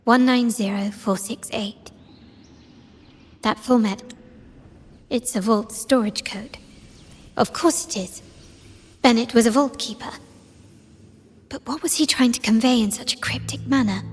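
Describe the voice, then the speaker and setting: A woman playing a composed, shrewd young heiress in a game, speaking formally and deliberately. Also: fairly high pitch, slightly rough. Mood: composed, analytical. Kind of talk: dramatic game dialogue. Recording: reverberant hall, music underneath. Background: music.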